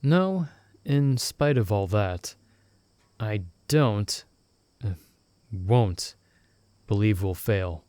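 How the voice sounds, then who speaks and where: A young man voicing a loyal friend stranded in another world, who talks in a soft-spoken, gently teasing and reassuring way in an ASMR roleplay recorded close to the mic. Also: on the low side, animated, extremely slow, long pauses, smooth, no echo. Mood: gentle, reassuring.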